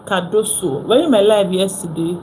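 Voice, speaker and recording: A woman's voice: mid-range voice, fairly quick, mellow, slight background noise, rough recording. A young Nigerian woman with a Nigerian accent, hosting a casual phone live stream, chatting with viewers and repeating herself.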